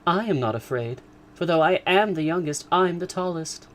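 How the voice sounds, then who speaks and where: A man voicing a bold, cheeky teenage girl, pitched high above his own voice. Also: lively intonation, normal speed, a bit bright, a little hiss or hum. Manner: stout, bold.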